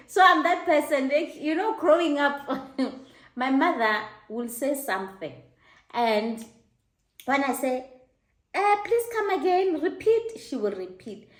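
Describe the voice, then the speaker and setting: A middle-aged woman with a South African accent recounts a personal story in a lively, laughing way and slips into squeaky exclamations. Her voice sits a little high. The room around her is echoey. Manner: animated, laughing, high-pitched mock exclamations. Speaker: host. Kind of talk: casual storytelling to camera.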